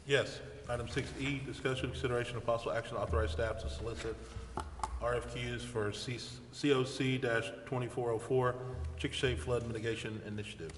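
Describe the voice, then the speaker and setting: Brisk American man chairing in echoey hall. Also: medium pitch, fairly even intonation. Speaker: mayor chairing a council meeting. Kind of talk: formal meeting procedure, reading agenda items. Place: echoey council chamber. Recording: echoey hall, faint noise.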